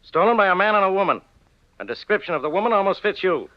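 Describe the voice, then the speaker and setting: Fast, brusque 1940s radio inspector. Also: male voice, sits high, big pitch swings. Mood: accusing, sardonic. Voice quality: blunt, badgering delivery. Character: brusque, suspicious, sarcastic male police inspector. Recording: muffled 1940s radio recording.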